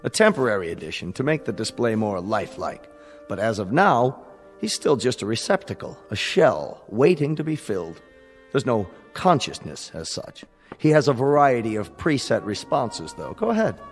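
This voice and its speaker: An actor playing a smooth-talking, reassuring salesman in a radio drama, polished and measured. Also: mid-range voice, sing-song, in a large hall, soft background music. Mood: polished pitch.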